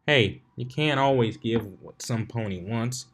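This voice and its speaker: A man's voice: mid-range voice, unhurried, lightly acted. A folksy farm mare from a storybook, played by a man. She is plain-spoken and kindly, with rural turns of phrase and a down-to-earth, reassuring way about her.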